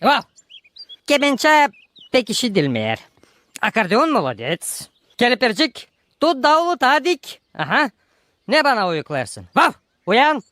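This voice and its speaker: An actor voicing a boisterous, grumbling, excitable animal musician in a cartoon, muttering and exclaiming. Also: measured pace, no echo, studio quality. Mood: grumbling, excited. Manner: exclamatory, muttering, swooping between low and high. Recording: music behind the voice.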